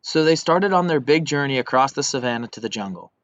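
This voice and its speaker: A young man reading a children's story aloud in an expressive, scripted way. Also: medium pitch, quick pace, no echo. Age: young adult. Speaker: volunteer storybook reader. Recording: dull lo-fi recording.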